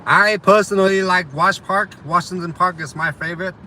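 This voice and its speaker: A young man with a slight Vietnamese accent talks in a loose, rambling way to live viewers, with plenty of ums and uhs. His voice is bright and forward. Faint city and traffic sounds hang in the background. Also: high voice, steady tone, normal speed, rough recording. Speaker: delivery driver streaming to viewers. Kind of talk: casual live chat reading viewer comments.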